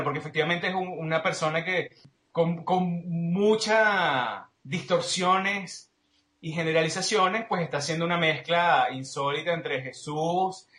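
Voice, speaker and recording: Male interview guest, Venezuelan accent, slightly high voice, analytical and explanatory, recorded with marked room echo. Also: measured pace, bright, forceful tone. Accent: Venezuelan Spanish. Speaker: interview guest, political commentator.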